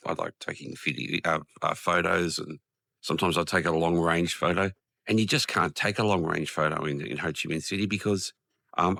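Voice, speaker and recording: An Australian man with a croaky voice from a cold, talking casually in a rambling vlog with many ums and restarts, outdoors in a quiet park. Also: low voice, dry recording, clean studio sound. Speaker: vlogger. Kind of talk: casual vlog talk to camera.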